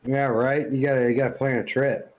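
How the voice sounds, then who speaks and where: A man with an average-pitched voice. He speaks informally, as one co-host chatting with another on a podcast. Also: level tone, a little fast, low-quality recording. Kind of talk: casual chat.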